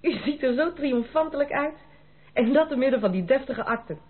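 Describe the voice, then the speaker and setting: A woman's voice: sits high, animated, a little fast, husky. An actress playing a proud, troubled young woman in love, earnest and dramatic, in a Dutch radio play. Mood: gleeful, teasing. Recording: narrow, noisy old radio play recording.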